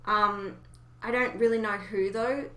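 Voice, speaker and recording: A woman's voice: mid-range voice, steady tone, measured pace, pushed and bright. A woman chatting casually about fan theories, speaking in an opinionated, emphatic way. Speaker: podcast co-host. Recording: roomy, echoey voice recording.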